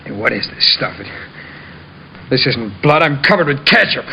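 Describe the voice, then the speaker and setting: An actor playing a groggy, bewildered crook in a 1940s radio drama, with a gravelly voice. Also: male voice, medium pitch, very animated, normal speed, pushed and bright, heavily acted, low-quality recording. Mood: groggy, confused. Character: groggy, bewildered male crook coming round. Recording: old radio recording, faint noise.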